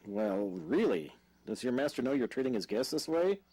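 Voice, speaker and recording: A man's voice: medium pitch, big pitch swings, moderate pace, mellow, breathy edge, rough recording. A man playing a practical, wry young houseguest in an audio drama, with a dry conversational manner. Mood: puzzled.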